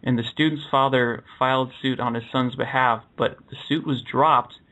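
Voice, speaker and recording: A man hosting a radio talk show, earnestly reading through cases and interviewing a guest, often pausing with uh and correcting himself. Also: medium pitch, steady tone, pushed and bright. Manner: earnest, with frequent uh's and self-corrections. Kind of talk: reading case summaries and interviewing. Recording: dull lo-fi broadcast audio.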